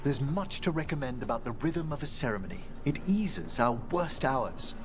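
An actor voicing a gentle, wistful older necromancer scholar in a video game, speaking formally and courteously. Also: male voice, mid-range voice, animated, moderate pace, noisy background, lightly acted. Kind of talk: video game dialogue acting.

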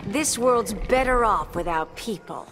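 A woman's voice: medium pitch, expressive, measured pace. A woman playing a seductive, cynical plant villainess in a video game, sultry, languid and taunting. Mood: disdainful. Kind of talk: video game character acting. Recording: very loud music under the voice.

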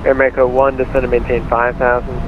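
A man's voice: mid-range voice, completely flat delivery, fairly quick. A man working as an air traffic controller, giving clipped procedural instructions over the radio. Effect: radio transmission. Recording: muffled narrowband radio, cockpit drone noise.

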